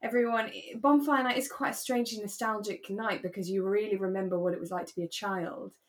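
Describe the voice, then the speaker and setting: A woman's voice: mid-range voice, steady tone, brisk, a crowd in the background. A woman co-hosting a podcast, chatting casually and reflectively about a radio drama. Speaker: podcast co-host.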